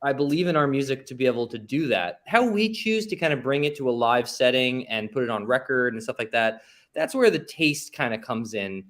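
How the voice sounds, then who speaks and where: A man's voice: medium pitch, sing-song, a little fast, fairly bright tone. A man speaking as an interview guest and musician, chatting casually in an informal, self-deprecating way. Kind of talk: casual conversational storytelling.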